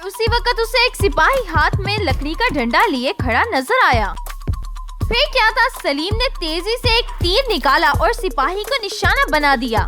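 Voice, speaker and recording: A woman reads a scripted children's story as narrator. Her voice is extremely high and moves about with animated intonation. Music plays behind her.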